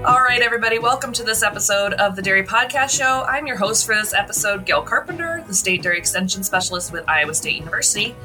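A woman's voice: medium pitch, lively intonation, quick pace, few pauses, music in the background. A young woman hosting a podcast, chatty and full of fillers as she introduces her guest. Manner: chatty, many fillers and restarts. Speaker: podcast host. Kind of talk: casual interview intro.

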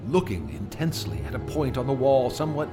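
An actor playing a troubled gentleman recounting frightening events in a radio drama, earnest and hesitant, stammering when unsettled. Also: mid-range voice, very animated, brisk, over music, lightly acted. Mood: tense, haunted. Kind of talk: radio drama acting, halting testimony.

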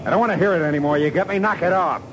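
An actor playing a tough, hostile American oil drilling boss in a 1950s radio drama, blunt, slangy and bullying. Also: male voice, high-pitched, very fast, lightly acted. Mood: angry. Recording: noisy vintage 1950s radio recording.

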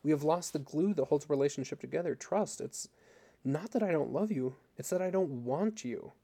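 A man's voice: mid-range voice, normal speed, creaky, mellow, natural voice. A man voicing a wounded, bitter man in a story, speaking with steely, anguished insistence to the woman he loves. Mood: anguished, angry. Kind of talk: dramatic audiobook character reading.

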